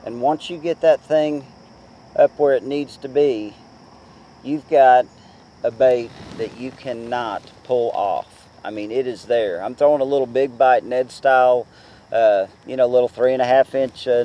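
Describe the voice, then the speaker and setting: A man in his forties giving casual fishing tips to the camera from a boat on a lake, talking in a relaxed, chatty way with many fillers. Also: medium pitch, measured pace, slight background noise, poor audio. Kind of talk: casual how-to talk to camera.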